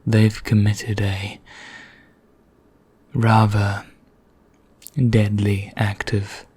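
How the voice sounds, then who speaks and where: A man playing a vain, condescending vampire in an ASMR roleplay, speaking formally with measured pauses in a husky, breathy voice that nears a whisper. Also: on the low side, monotone, warm tone, exaggerated voice. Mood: ominous, explanatory. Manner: slow, measured, formal, pompous, with deliberate pauses. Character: vain, condescending male vampire elder, calmly sinister.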